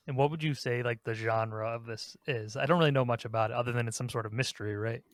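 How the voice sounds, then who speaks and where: A man's voice: mid-range voice, steady tone, fast talker, dry recording, clean studio sound. A man talking casually and giving his opinions as a podcast co-host.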